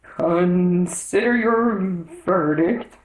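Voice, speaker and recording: A woman voicing a pompous, slow-witted old king in a storybook, in a grave and deliberate manner. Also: lowish voice, darkish tone, reverberant, poor audio.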